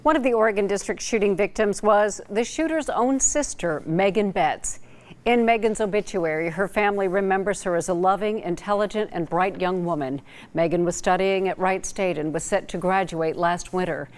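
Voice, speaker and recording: A woman's voice: mid-range voice, normal speed, darkish tone. A woman with an American accent reading scripted broadcast news as an anchor.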